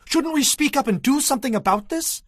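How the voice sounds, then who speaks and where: A concerned, earnest ancient Israelite in an audio Bible drama. The voice is fairly high and swings expressively, urgent in manner, with a dry studio sound. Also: female voice, lively intonation, a little fast, no echo. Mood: shocked.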